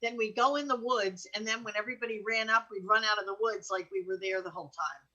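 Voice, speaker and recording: A woman's voice: mid-range voice, quick pace, sharp tone, highs cut off. An American woman co-host telling anecdotes casually, in a candid, colloquial way. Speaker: show co-host.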